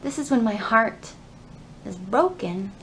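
A woman in her thirties presenting a children's storytime, with expressive, carefully phrased delivery meant for small children. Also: medium pitch, slow pace, roomy sound, a little hiss or hum. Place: indoor library room.

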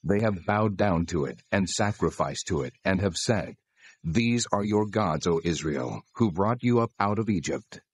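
A man playing God in a dramatized scripture reading, speaking solemn, deliberate commands with authority. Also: low-pitched, lively intonation, slightly rough, full character voice. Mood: stern, angry. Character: God, solemn male deity giving commands.

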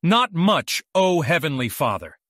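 A man's voice: fairly high pitch, sing-song, a little slow, a bit bright, dry recording, clean studio sound, heavily acted. An actor playing a sly, irreverent male archangel in an audiobook, speaking with mock deference. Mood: slyly respectful. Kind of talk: audiobook character acting.

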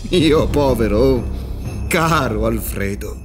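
A man acting a grandiose mock-court judge in a radio drama, with declamatory, deliberate delivery. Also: mid-range voice, animated, music in the background. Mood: solemn.

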